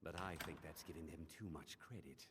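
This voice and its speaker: An actor playing a measured, reflective male scholar mage in a fantasy video game, explaining things thoughtfully. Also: extremely low pitch, noisy background. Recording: long reverberant hall echo.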